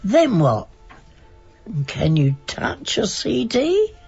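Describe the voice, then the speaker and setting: A woman's voice: a little high, wildly swooping pitch, very slow, gravelly, darkish tone, husky, soft background music, full character voice. An actress playing an elderly, sentimental wife in a radio drama, chatty, wistful and insistent about keeping her things. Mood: anxious, insistent. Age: older. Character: stubborn, sentimental old wife clinging to memories and belongings. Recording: dull, band-limited radio broadcast recording.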